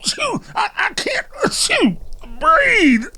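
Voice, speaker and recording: A man's voice: extremely high pitch, wildly swooping pitch, very slow, bright, forceful tone, voice pushed up, heavily acted. A man voicing an anxious, reluctant grandson in a radio play, whining and pleading in stammering outbursts. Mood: panicked. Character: anxious, whiny, reluctant grandson, panicky and exasperated. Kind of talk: radio play character acting.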